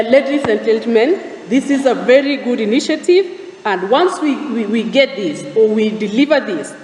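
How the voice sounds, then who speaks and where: A woman with a Kenyan accent delivers a formal prepared speech at a government forum, in a measured manner, setting out her points in order. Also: medium pitch, darkish tone. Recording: distant, echoey hall with background noise.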